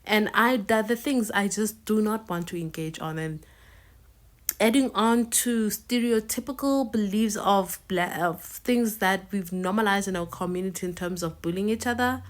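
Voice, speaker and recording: A woman's voice: medium pitch, moderate pace. Emphatic South African woman commentating. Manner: emphatic, firm, repeats points for stress. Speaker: reaction video host. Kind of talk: casual commentary to camera.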